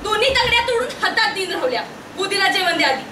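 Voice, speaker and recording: A woman playing a bossy, sharp-tongued rustic elder sister in a stage play. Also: extremely high pitch, big pitch swings, racing. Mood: scolding. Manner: sharp-tongued, interrogating, teasing. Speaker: stage actor. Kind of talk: amateur one-act stage drama in rustic dialect. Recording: noisy echoey stage, picked up from far off.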